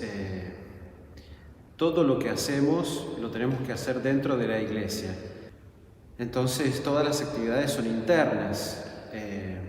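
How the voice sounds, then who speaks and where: A man, a Franciscan missionary, speaks at a middling pitch in a measured, hesitant way, full of pauses and restarts. His voice sits back from the mic in a large, echoing hall with a long reverb tail. Faint noise hangs underneath. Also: recorded from a distance. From Argentina. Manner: thoughtful, hesitant, many filler pauses and self-corrections. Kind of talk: personal testimony answering a question.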